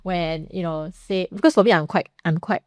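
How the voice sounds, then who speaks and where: A woman's voice: lowish voice, normal speed, no echo, clean studio sound. Chatty Singaporean woman podcast host. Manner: chatty, storytelling, frequent "like" and "you know". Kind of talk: casual conversational interview.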